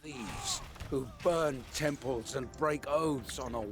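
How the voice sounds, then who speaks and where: A man's voice: medium pitch, slow pace, lightly acted. An actor playing a stern, pious Persian officer in a video game, indignant and urgent as he declaims. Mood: grave, indignant. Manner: solemn declaiming and urgent commands. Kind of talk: dramatic video game voice acting. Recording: dramatic music behind the voice.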